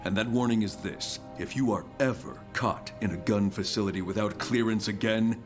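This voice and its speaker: A male actor plays a stern, authoritative commander in an audio drama. The voice is on the low side, formal and commanding, with music clearly behind it. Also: normal speed, lightly acted.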